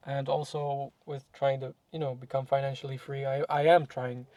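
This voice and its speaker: A man's voice: mid-range voice, little pitch movement, moderate pace, mellow, no room sound. Young male guest, casual and reflective, full of fillers and restarts; dry, close mic. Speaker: guest in a podcast chat. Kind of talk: casual conversation.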